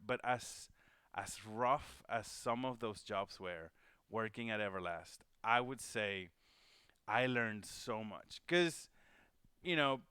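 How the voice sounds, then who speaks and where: A man's voice: mid-range voice, bright, forceful tone, clear, resonant tone, no room sound, clean studio sound. A man from Venezuela hosting a livestream, talking casually and rambling with many fillers and restarts. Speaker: host. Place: home studio.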